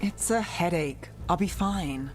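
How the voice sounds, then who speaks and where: An actress playing a stoic, wry, injured adventurer in a fantasy game. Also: female voice, lowish voice, a little slow, soft background music, close to an everyday voice. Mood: stoic, reluctant.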